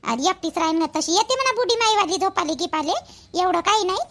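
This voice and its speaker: A woman's voice: very high voice, animated, fast, fairly bright tone, exaggerated voice. A woman voicing a busy, talkative village mother in a cartoon, rambling and repeating herself in colloquial Vidarbha Marathi. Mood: explaining, hurried. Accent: Varhadi Marathi, Vidarbha. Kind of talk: rural family cartoon dialogue.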